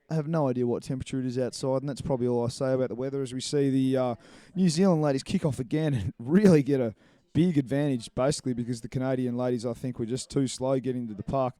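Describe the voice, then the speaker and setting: A man's voice: medium pitch, dark tone, dry recording. A man giving live play-by-play commentary from a commentary box at an indoor pool, chatty and rambling with frequent fillers. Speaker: sports commentator.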